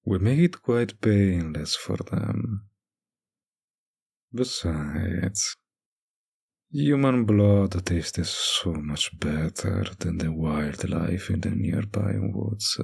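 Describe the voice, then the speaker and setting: A suave, world-weary vampire bartender, acted out by a man, speaks intimately to the listener in an audio roleplay. His low voice crackles with heavy fry. He takes his time, slow and languid, teasing between hesitant pauses. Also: darkish tone, full character voice. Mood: sly, confiding.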